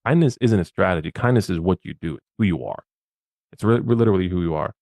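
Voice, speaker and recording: A man's voice: low-pitched, lively intonation, fast, vocal fry, darkish tone, no room sound, clean studio sound. A man from Bosnia, a podcast guest, gives social media advice in a casual, emphatic way and often repeats his words.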